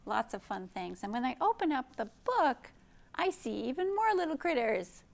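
A woman in her fifties hosting a children's storytime, speaking gently and expressively in simple phrases, with questions for young listeners. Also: high voice, sing-song, normal speed, gravelly. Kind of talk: read-aloud storytime for young children, with chat and song. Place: indoor library program room.

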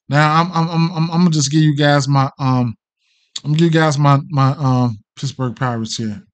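A middle-aged American man hosting a casual betting livestream, rambling off the cuff with plenty of slang and swearing. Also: on the high side. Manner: slangy, profane, hesitant and rambling. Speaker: sports betting show host.

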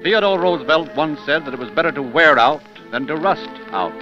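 A man's voice: a little high, lively intonation, fairly quick. A man narrating a scripted 1930s radio show in a dramatic, portentous manner. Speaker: narrator, host. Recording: muffled old 1930s radio recording. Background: music.

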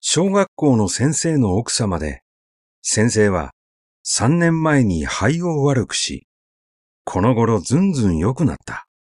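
A man narrating a literary story in a measured, conversational, expressive way, as if telling it to someone. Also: medium pitch, dry recording, studio quality.

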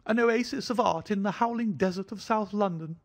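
A man voicing a jittery, fussy little man of about thirty, an anxious hypochondriac with a thin, high voice. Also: fairly quick. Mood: effusive. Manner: fidgety, effusive, fretful.

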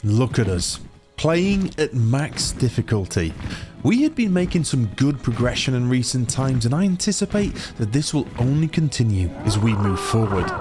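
A young man with a cold and a slightly nasal, blocked-nose voice, chatting excitably through a game playthrough. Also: medium pitch, moderate pace, over music. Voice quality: a bit nasal. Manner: chatty, excitable, rambling asides. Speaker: gaming YouTuber. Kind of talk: casual let's-play commentary.

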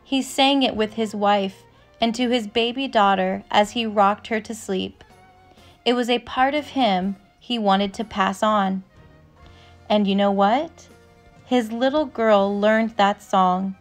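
A woman narrator reading a children's picture book aloud from a script, with measured, careful phrasing. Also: medium pitch. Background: instrumental music.

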